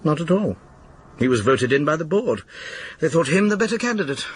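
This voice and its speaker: An English actor playing a suave, slyly amused and embittered exam official in a radio drama, with clipped, sardonic delivery. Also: male voice, on the high side, big pitch swings, a little fast, slightly rough, no echo. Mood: bitter, candid. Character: urbane, bitter, slyly amused male exam-board official. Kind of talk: naturalistic radio drama acting.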